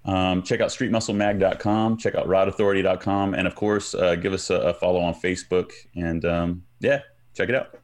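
A man's voice: sits low, little pitch movement, brisk. A man speaking casually as an expert guest on a show. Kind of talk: casual chat.

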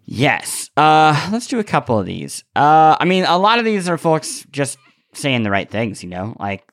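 A man co-hosting a podcast in casual discussion, thinking aloud with animated enthusiasm. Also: fairly high pitch, normal speed. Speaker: podcast co-host.

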